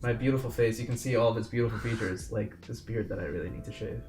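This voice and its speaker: A man's voice: fairly low pitch, quick pace, darkish tone. Young male podcast co-host, self-mocking rambler, home desk mic, faint music under. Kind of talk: casual video-call podcast chat. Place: home bedroom. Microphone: desk mic with pop filter.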